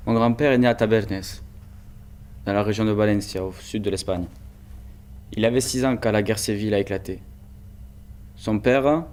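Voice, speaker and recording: A man's voice: lowish voice, a little mellow. A teenage boy telling his grandfather's story in an earnest, hesitant way. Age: teens. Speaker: student telling family history. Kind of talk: personal testimony, documentary voice-over.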